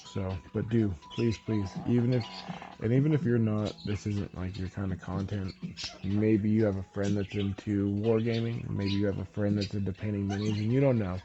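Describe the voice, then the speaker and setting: A man's voice: on the low side, moderate pace, dull audio, noisy background. A man with a stuffy, congested voice chatting casually with many ums while he paints a model and explains each step. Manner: relaxed, rambling with many ums. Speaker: miniature painting channel host.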